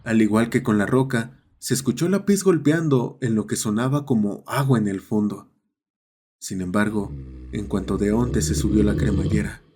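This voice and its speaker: A man's voice: fairly low pitch, darkish tone, a little hiss or hum. A man narrating a scripted horror story. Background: ambient sound effects.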